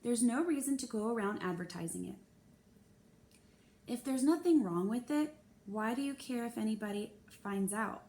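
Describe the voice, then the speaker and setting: A woman voicing a stern, condescending female principal in a children's storybook, measured and pompous. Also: fairly high pitch, expressive, warm tone. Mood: dismissive, firm. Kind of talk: children's novel read-aloud.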